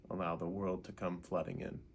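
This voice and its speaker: A man leading a guided meditation in an unhurried way, gently asking questions and leaving pauses. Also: low voice, level tone, slight background noise.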